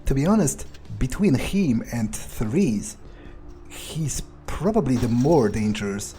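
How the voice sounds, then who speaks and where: A man playing a wary, ruthless vampire on the hunt, thinking aloud in halting, hesitant phrases over a video game. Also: medium pitch, animated, mellow, soft background music, close to an everyday voice. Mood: musing, calculating. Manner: musing, hesitant, halting self-corrections. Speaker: let's player role-playing a game character. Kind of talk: in-character improvised gameplay narration, thinking aloud.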